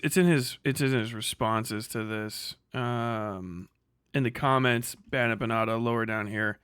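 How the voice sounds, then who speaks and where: A man's voice: medium pitch, a bit bright, clean tone, dry recording, studio quality. A man hosting a livestream with casual commentary, blunt, sweary and rambling in the way he talks. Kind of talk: casual livestream commentary, reading and reacting to posts.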